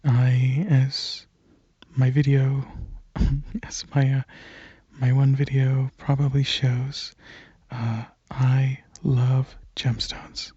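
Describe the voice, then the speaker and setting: A young man speaks slowly and softly in a rambling ASMR style, with a mellow, slightly husky voice. He is hesitant and sometimes sinks toward a whisper. The sound is close and dry. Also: mid-range voice, flat delivery, dry recording, dull audio. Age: young adult. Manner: soft-spoken, hesitant, drifting into near-whispers. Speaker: ASMR channel creator.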